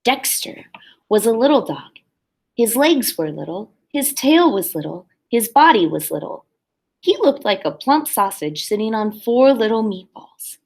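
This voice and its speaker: A woman's voice: on the high side, big pitch swings, measured pace. Woman teacher reading aloud to children. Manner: simple explanatory asides to children. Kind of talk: expressive picture-book read-aloud for young children.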